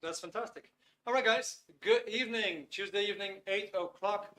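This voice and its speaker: A man's voice: high voice, moderate pace, sharp tone. Upbeat Dutch man hosting a webinar. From Netherlands. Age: 50s. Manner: upbeat, greets viewers by name. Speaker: webinar host.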